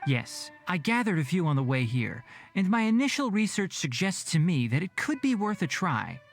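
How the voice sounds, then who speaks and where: An actor playing a clever young male forest scholar in a game cutscene, brisk, knowledgeable and dry. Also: high-pitched, sing-song, faint music behind.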